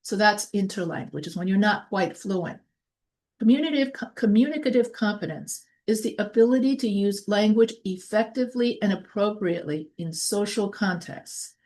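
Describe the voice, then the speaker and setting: A woman teaching a college course in a recorded lecture, her voice sitting in a mid range. She reads definitions in an even, measured way. Then she explains them casually, with fillers and restarts. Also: medium pitch. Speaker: college instructor. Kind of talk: lecture reading from notes.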